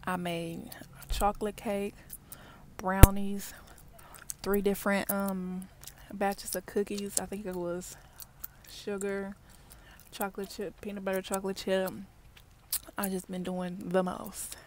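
A woman, chatting casually in a parked car for an ASMR video, often dropping to soft whispers, recorded on a wired earbud mic. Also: mid-range voice, level tone, slow, pauses often. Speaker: ASMR vlogger. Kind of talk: ASMR gum-chewing car chat. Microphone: wired earbud mic held near mouth. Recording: faint background noise, mouth clicks.

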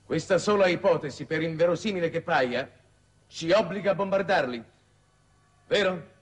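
A man's voice: a little high, moderate pace, lightly acted. A middle-aged man playing a weary, conscience-torn government envoy, grave and formal, in 1960s Italian television drama. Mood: troubled, insistent. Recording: noisy old 1960s television soundtrack.

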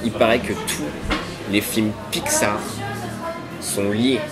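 A man's voice: lowish voice, animated, takes it slowly, loud music behind the voice. A man, hosting a web show in casual, slangy, tongue-in-cheek chat with some hesitation. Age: 20s. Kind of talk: casual scripted chat to camera. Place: busy diner booth, indoors.

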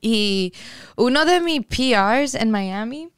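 A young woman from the Dominican Republic chats as a guest in a podcast studio. Her voice comes through exceptionally clear and dry from a boom mic. She slips English words into her talk and laughs easily. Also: lively intonation, slow, no room sound. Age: young adult. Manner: mixes English words into Spanish, laughs often. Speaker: guest singer. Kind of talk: casual interview chat.

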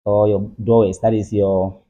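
Low, husky Ghanaian man, phone-like audio. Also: flat delivery, moderate pace, mellow. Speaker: carpenter, interview guest. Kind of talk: casual interview answers. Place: furnished room, seated in armchairs. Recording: thin, muffled phone-like sound.

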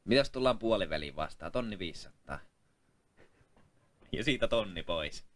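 A shrewd, bossy pawnbroker played by a man in a comic reality-show dub. The voice sits fairly low but jumps around with lively intonation. The delivery is blunt and teasing, full of exclamations. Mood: haggling. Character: shrewd, bossy male pawnbroker haggling over prices.

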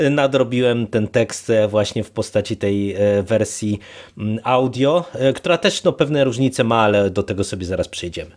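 A man's voice: on the low side, expressive, moderate pace. A man co-hosting a podcast in casual discussion, speaking hesitantly and building sentences in fragments. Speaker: podcast co-host.

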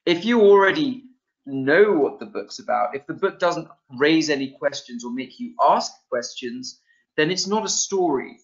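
A man's voice: on the high side, animated, moderate pace. A young man presenting an online teaching webinar, talking loosely with many ums and restarts, from a small room over a video call. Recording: dull lo-fi video-call sound.

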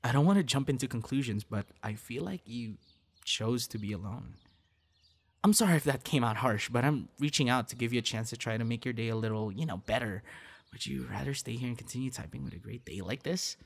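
A man's voice: mid-range voice, a little fast. Man acting a friendly, coaxing young guy. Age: young adult. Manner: earnest, coaxing, sighs and breathy reactions. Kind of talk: ASMR audio roleplay, one-sided dialogue to listener.

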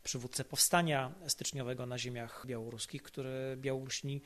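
A man speaking as an expert guest in an analytical podcast discussion, measured and explanatory, stressing key words. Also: medium pitch, flat delivery.

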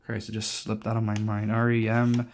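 A man's voice: lowish voice, monotone, moderate pace. A young adult man giving a casual, unscripted show-and-tell, rambling hesitantly and often correcting himself. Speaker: collector presenting his cassette collection.

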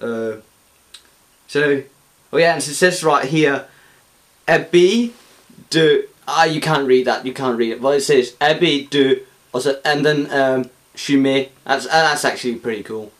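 A man's voice: medium pitch, a little slow. A young man with a Danish accent, a native Danish speaker, chatting casually on camera about a beer he is tasting, rambling with lots of fillers and restarts, recorded indoors on a camera in front of him. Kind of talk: casual chat. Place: indoor room.

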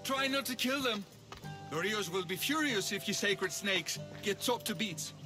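A cheeky, theatrical priest of ancient Greece, with a high voice that leaps about. He is sarcastic and excitable, a video game character heard over music. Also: unhurried. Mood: explanatory, earnest. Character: cheeky, panicky male sanctuary bathhouse priest in ancient Greece.

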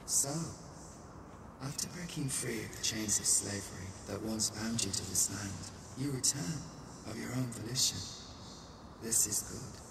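An actor playing a calm, cryptic, commanding hooded spirit guide in a fantasy game, measured and portentous, with ghostly reverb. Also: male voice, lowish voice, gravelly. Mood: solemn, approving. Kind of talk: cinematic fantasy game acting, archaic speech. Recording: echoing, distant, noisy ambience.

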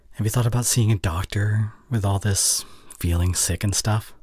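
A man playing a tender, anxious young boyfriend in an ASMR audio drama, speaking intimately and haltingly with breathy sighs. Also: fairly low pitch, a little mellow, no echo. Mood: worried, soothing.

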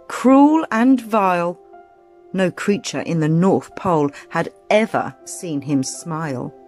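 Woman, children's read-aloud narration, very animated, dramatic, suspenseful, faint music behind. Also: fairly low pitch. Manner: expressive, deliberate, suspenseful. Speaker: storyteller.

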